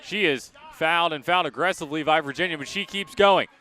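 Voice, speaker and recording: A man calling live college lacrosse as a radio play-by-play announcer, in crisp short present-tense phrases, outdoors at a sports field. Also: a little high, fast, pushed and bright, soft background music.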